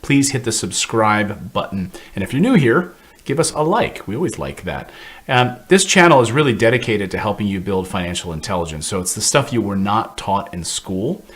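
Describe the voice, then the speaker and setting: A man's voice: fairly low pitch, normal speed. A middle-aged man hosting an interview show, upbeat and chatty as he introduces his guest and asks questions. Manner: energetic, plugs channel, jokes about names. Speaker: show host.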